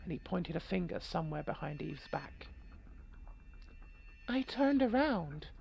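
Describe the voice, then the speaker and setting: A woman's voice: on the low side, very animated, a little slow, creaky, darkish tone, slightly rough. A woman streaming a game, reading the story aloud with irreverent, sweary, joking commentary. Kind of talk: game playthrough, narration mixed with casual commentary.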